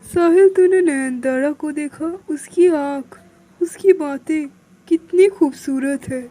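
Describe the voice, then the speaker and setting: Lovestruck cartoon college lad played by a woman, high register, loud, excitable and easily worked up. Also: slow. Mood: smitten. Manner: loud, indignant, pleading. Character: excitable, smitten young male college student.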